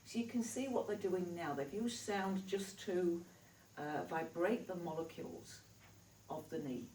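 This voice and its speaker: A woman's voice: fairly low pitch, a little slow, dark tone, recorded from a distance. A woman with a Yorkshire accent, a healer giving a chatty, reassuring talk to a live audience. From Yorkshire, England. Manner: chatty, reassuring, laughs easily. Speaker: spiritual healer. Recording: echoey hall recorded from afar.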